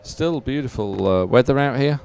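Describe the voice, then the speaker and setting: A man's voice: medium pitch, expressive, moderate pace, gravelly, darkish tone. A man giving live, unscripted sports commentary in a chatty, hesitant, explanatory way.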